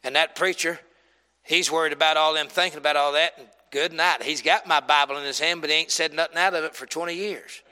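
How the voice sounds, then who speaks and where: A folksy, wry God the Father, played by a preacher mid-sermon, looking over congregations and judging each one. The voice rings bright and a little high. A long hall reverb trails behind it. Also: steady tone, a little fast. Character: God the Father, male, searching for a worthy church.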